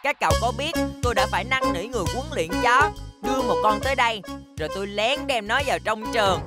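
A woman's voice: a little high, lively intonation, fast talker, lightly acted. A woman playing a boastful, taunting schoolboy bully who schemes, in a cartoon dub. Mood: smug, plotting. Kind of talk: animated series dub voice acting. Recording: loud music behind.

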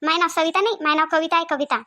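A woman's voice: very high voice, fairly even intonation. A woman voicing a feisty, fearless young cartoon woman who scolds sharply, with a Varhadi Marathi accent from Vidarbha. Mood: defiant, threatening. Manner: sharp, scolding, rapid-fire. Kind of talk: cartoon comedy dialogue.

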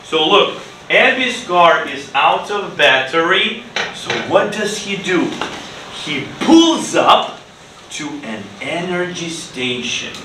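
A man's voice: a little high, big pitch swings, slow pace, bright, forceful tone. A middle-aged man teaching an English class, giving short prompts and repeating phrases for learners to echo. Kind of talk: classroom ESL lesson, questioning students. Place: classroom, standing at the board. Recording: echoey noisy classroom, distant mic.

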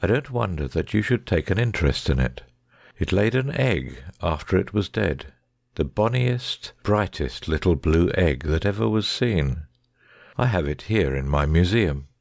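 A man's voice: sits low, animated, measured pace, vocal fry, darkish tone, no echo, clean studio sound, heavily acted. A man playing a shrewd, commanding, coolly sardonic detective with precise, formal speech in an audiobook dramatic reading. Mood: dryly amused.

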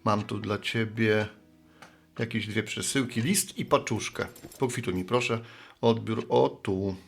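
Radio play postman and bar regular, played by a male actor: middle-aged man, fairly low, gravelly, sheepish, folksy, good-natured. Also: fairly even intonation, measured pace, a little hiss or hum. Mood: jovial. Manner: folksy, rambling, reminiscing.

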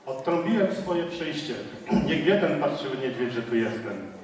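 A man playing a loud, boastful forester. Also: medium pitch, expressive, moderate pace, lightly acted. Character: boastful male forester hunting a bear. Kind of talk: children's stage play. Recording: echoey hall from far off, audience.

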